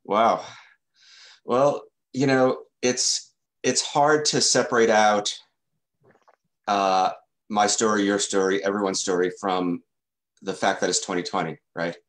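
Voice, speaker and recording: A man's voice: lowish voice, fairly even intonation, slow pace, long pauses, a bit bright. An older man who stutters, with blocks, repeated sounds and fillers, speaking as a guest on a video call from a room at home. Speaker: guest, journalist.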